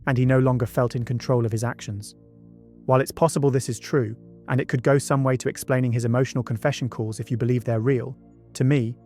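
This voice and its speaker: A man's voice: medium pitch, a little mellow. Male true-crime narrator over music. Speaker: documentary narrator. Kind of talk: scripted true-crime narration with conversational asides. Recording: music bed behind the voice. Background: background music.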